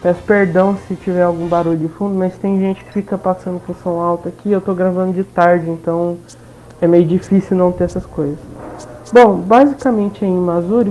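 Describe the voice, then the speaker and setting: A woman's voice: mid-range voice, dark tone. A woman with a Brazilian accent giving a casual, informal let's play recap as voice-over, often checking in with listeners. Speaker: gaming video host. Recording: dull lo-fi voice-over.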